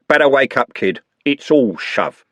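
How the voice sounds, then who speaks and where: A man doing a slow, menacing gang leader. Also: mid-range voice, lively intonation, a bit bright, dry recording, pitched above the performer's own voice. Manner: slow, threatening.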